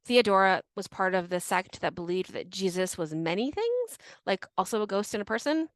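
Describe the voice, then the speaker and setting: A woman's voice: medium pitch, big pitch swings, normal speed, no room sound, studio quality. A young woman co-hosting a podcast, casually telling a history story with many fillers and frequent laughs. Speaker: podcast co-host.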